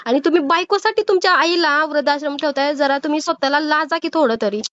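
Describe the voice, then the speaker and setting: A woman's voice: high-pitched, quick pace, fairly bright tone, no room sound. A woman with a Maharashtrian Marathi accent on a phone call, insistently admonishing the other person with moralising rhetorical questions. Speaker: old-age home worker taking a call. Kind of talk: phone counselling conversation, admonishing. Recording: muffled lo-fi phone call recording.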